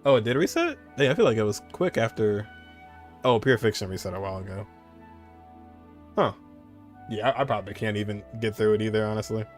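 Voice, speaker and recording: A man's voice: lowish voice, expressive, brisk, long pauses, faint music behind. A man streaming a video game, giving casual, laid-back live commentary in short muttered reactions.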